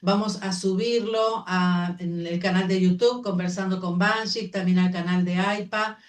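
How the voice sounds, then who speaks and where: A woman's voice: lowish voice, fairly even intonation, measured pace. A woman host closes an online masterclass with gracious thanks and careful announcements. Her voice is picked up from a distance, with noticeable room echo around it. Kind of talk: closing announcements on a livestream. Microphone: video call. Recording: echoey room, distant mic.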